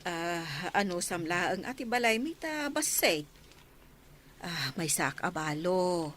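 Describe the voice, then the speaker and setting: A woman's voice: lowish voice, sing-song, slow, a bit bright, a little hiss or hum, exaggerated voice. A woman with an Ilocano accent playing a plain-spoken, welcoming middle-aged village widow and mother in a comedy radio drama.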